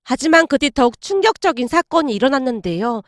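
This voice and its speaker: A woman's voice: sits high, big pitch swings, brisk, no room sound, studio quality. A woman narrating a scripted first-person story.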